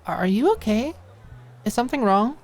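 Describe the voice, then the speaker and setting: Woman voicing a bright, high-pitched, affectionate young woman. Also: lively intonation, moderate pace. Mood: worried, gentle. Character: happy, affectionate young woman, giggly then gently worried.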